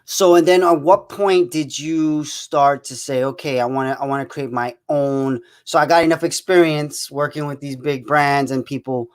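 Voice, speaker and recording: A man hosting a live online interview show, casual and halting with many fillers and restarts. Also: a little high, fairly even intonation, clear, resonant tone. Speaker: host.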